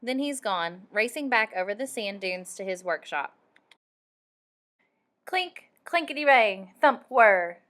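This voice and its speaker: A woman reading a picture book aloud for young children, animated and deliberate, acting out the sound effects. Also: mid-range voice. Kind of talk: expressive read-aloud storytelling for young children.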